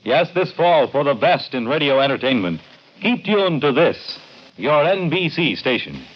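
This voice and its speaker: A man's voice: fairly high pitch, very animated, unhurried, background noise. A man delivering scripted announcements and narration in a vintage radio style. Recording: dull vintage radio recording, music behind. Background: music.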